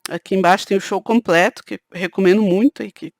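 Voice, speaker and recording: A woman with a southern Brazilian caipira lilt, speaking in a relaxed, chatty way straight to her viewers. Her voice sits at an ordinary middle pitch. The sound is close and dry, with no room echo. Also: brisk. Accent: Brazilian Portuguese, southern countryside (caipira). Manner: conversational, peppers sentences with "né". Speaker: channel host. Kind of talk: casual talk to camera.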